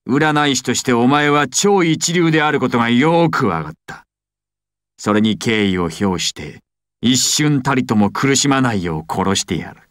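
A man's voice: medium pitch, no room sound, clean studio sound, natural voice. Man acting a menacing anime mafia boss. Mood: cold menace. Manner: commanding, theatrical, deliberate. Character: menacing, imperious, secretive male mafia boss villain. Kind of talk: anime and video game voice acting.

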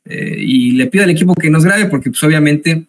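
A man's voice: a little high, brisk. A man in his forties giving live news commentary from a home office, hesitant, with many fillers and restarts.

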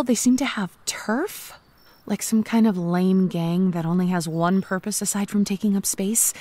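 An actress playing a cheerful, self-aware young zombie, chatting wryly and playfully to the listener in a comedic audio drama monologue. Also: female voice, fairly high pitch, a little mellow, no room sound. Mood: exasperated, wry. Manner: wry, playful, conversational asides to the listener.

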